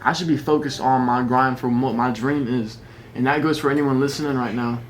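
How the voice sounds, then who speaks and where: Young male rapper guest, candid, self-mocking, mid-pitched, faint hiss behind. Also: steady tone, brisk. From South Carolina, raised in North Carolina. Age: young adult. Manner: candid, self-deprecating, laughs easily. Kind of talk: casual radio interview. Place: radio studio.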